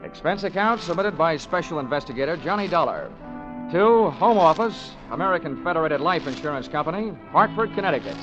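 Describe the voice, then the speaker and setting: An actor playing a cynical, hard-boiled American investigator, narrating and talking with dry, breezy sarcasm in 1940s radio style. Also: male voice, on the high side, expressive, a little fast, natural voice. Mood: sardonic narration. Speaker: lead and first-person narrator. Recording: dull vintage radio recording, music behind.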